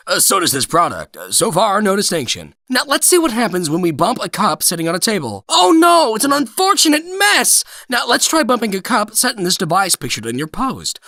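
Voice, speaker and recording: A man performs a comedic dramatic reading in a high-pitched, bright voice with big swings in intonation. His delivery is theatrical and mock-formal, with sarcastic emphasis as he lays out each point. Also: no echo, studio quality.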